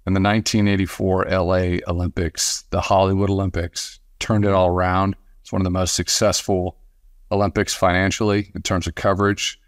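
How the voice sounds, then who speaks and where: A man hosting a casual sports podcast interview, speaking haltingly and often repeating words as he restarts. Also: low-pitched, little pitch movement, no echo, clean studio sound.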